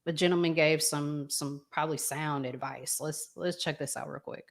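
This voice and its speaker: A woman with a Southern American accent hosting a casual talk show, chatty and prone to pausing and restarting. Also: sits low, fairly even intonation, vocal fry. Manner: chatty, pauses and restarts a lot. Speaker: show host. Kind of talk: casual podcast chat.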